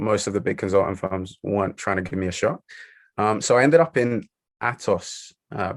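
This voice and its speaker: Gravelly flat-voiced young man. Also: fairly low pitch, dry recording. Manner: hesitant, lots of ums. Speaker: guest. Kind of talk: podcast interview.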